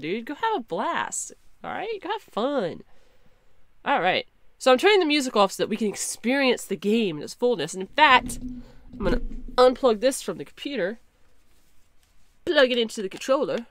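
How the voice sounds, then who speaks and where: Excitable young woman streamer. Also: on the high side, no echo. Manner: chatty, excitable, talks to chat. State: slightly hoarse. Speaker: game streamer. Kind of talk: casual live-stream chat. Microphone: headset.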